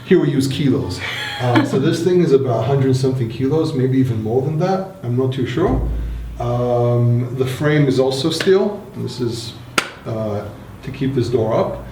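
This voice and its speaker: A man's voice: mid-range voice, expressive, moderate pace, background noise. Israeli man, echoey concrete shelter. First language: Hebrew. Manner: hesitant, many fillers and restarts. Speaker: interviewee. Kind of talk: casual explanatory talk. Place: bomb shelter in an apartment building.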